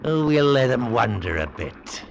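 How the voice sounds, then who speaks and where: A man's voice: mid-range voice, very animated, a little slow, gravelly, loud music behind the voice. An actor playing a crafty, scheming male ship's mate, drawling and conspiratorial in a 1940s radio drama. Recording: old radio broadcast with background hiss.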